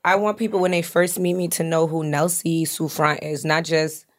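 A woman, a podcast guest telling stories in an animated, lively way, acting out the voices she quotes. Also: low-pitched, normal speed. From Long Island, New York. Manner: animated storyteller who acts out quoted voices. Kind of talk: casual chat.

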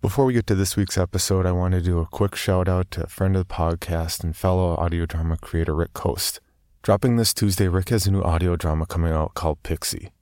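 A man's voice: low-pitched, fairly even intonation, brisk, darkish tone, dry recording, studio quality. A man reading scripted announcements as a podcast host.

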